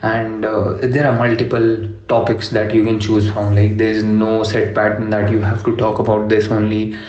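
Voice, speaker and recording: Low, flat-voiced man in an echoey room. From Delhi, India. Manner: measured, many fillers like "you know" and "uh". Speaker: rapper. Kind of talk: podcast interview answers. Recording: echoey room, dull lo-fi sound.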